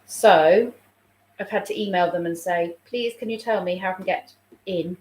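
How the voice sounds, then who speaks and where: A woman's voice: on the low side, normal speed, a little mellow. A woman, a childminder chatting casually on a live stream and reading out viewer comments, a little snuffly with a sore throat coming on. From England. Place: room at home. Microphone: phone. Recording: roomy home room echo.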